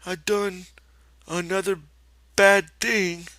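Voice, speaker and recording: A man voicing a big, childlike, simple-minded man who is pleading and excitable, whiny, with a rural American accent. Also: high-pitched, takes it slowly, dry recording, voice pushed up, heavily acted. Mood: anxious pleading.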